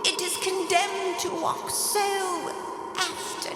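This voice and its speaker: A woman playing the solemn, mournful, chained ghost of a dead business partner in radio theater, with drawn-out theatrical delivery. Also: very high voice, flat delivery, very noisy. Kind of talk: live radio theater acting. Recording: long echoing reverb, loud music behind.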